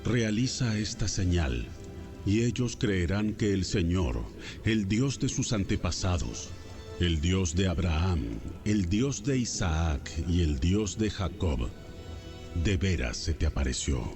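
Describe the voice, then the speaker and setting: A man's voice: sits low, animated, slow pace, music in the background. A man playing God as a stern, authoritative deity in a solemn, commanding dramatized Bible audio. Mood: solemn, assuring.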